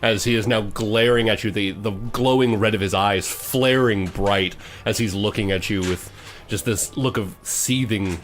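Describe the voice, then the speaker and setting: A man's voice: lowish voice, fairly even intonation, unhurried. A man running a tabletop fantasy game, describing the action with frequent restarts and self-corrections. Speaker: game master. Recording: music playing behind the voice. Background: background music.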